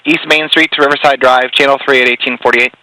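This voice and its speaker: Fast, bright-voiced male radio dispatcher. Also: medium pitch, fairly even intonation, no room sound, poor audio. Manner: clipped, procedural. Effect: two-way radio. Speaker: emergency fire dispatcher. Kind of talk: radio dispatch, repeating calls in clipped procedural phrases. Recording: compressed radio dispatch audio with hiss.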